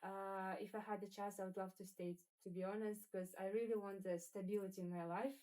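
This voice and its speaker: A woman of middle pitch chatting at a roundtable. She speaks earnestly, with frequent fillers and slightly non-native phrasing. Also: level tone, fairly quick, darkish tone. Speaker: trainee. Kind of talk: casual roundtable chat.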